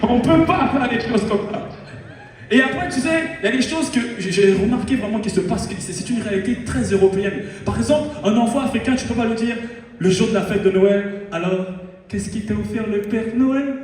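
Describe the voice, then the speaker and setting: A man's voice: sits high, brisk, a little mellow. A young man from the Republic of the Congo with a Congolese French accent, doing a live stand-up routine and playfully teasing the audience. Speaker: stand-up comedian. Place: large hall on stage. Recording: echoey hall mic from far off.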